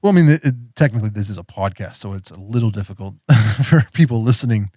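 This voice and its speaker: A man's voice: on the low side, animated, fast, warm tone, no room sound, studio quality. A man hosting a podcast in casual chat, rambling with lots of fillers and easy laughs. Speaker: podcast host.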